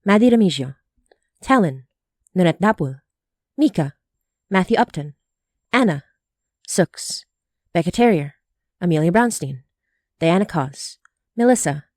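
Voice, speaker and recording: A woman's voice: lowish voice, expressive, unhurried, pauses often, darkish tone, no room sound, clean studio sound. A woman hosting a podcast, reading scripted announcements in a friendly manner. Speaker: podcast creator and host.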